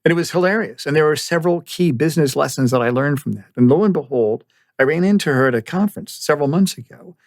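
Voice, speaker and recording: A man's voice: a little high, lively intonation, fast, dry recording, clean studio sound. A man hosting an interview podcast, speaking in an enthusiastic, effusive manner as he introduces and questions. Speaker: podcast host.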